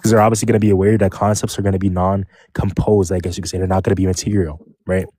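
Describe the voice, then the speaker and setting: A man in a casual online voice-chat debate, arguing rapidly in jargon and talking over interruptions. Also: low voice, level tone, dark tone, dry recording, clean studio sound.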